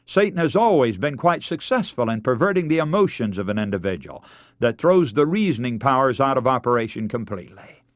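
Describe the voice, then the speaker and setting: Male, American accent, radio preacher, formal scripted sermon, emphatic oratory, lively pitch swings, dry studio-close sound. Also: a little fast, no echo. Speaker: radio preacher and broadcast host.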